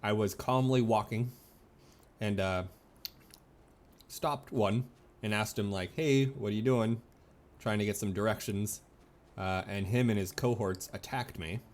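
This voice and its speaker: A man's voice: on the low side, measured pace, pauses often. A man streaming a video game with casual, playful and sometimes sweary commentary.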